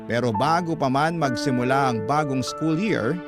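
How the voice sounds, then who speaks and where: An actor playing a young man telling his love story in scripted radio narration, with a Filipino accent and a measured, sentimental manner. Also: medium pitch, close to an everyday voice. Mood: reflective, earnest. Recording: loud music bed under voice.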